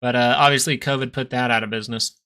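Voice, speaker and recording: A man's voice: medium pitch, steady tone, fast, pushed and bright, smooth. A man in his thirties hosting a live stock market stream, chatting casually between chart analysis and answers to viewer questions. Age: 30s. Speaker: stock market livestream host.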